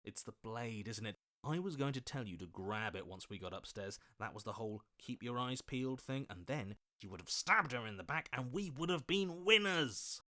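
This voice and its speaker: A man voicing a scheming, flattering, self-serving opportunist in a video game, with a smarmy, wheedling, theatrical delivery. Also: medium pitch, normal speed, hardly any pauses. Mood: sly, indignant. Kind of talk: video game character voice lines.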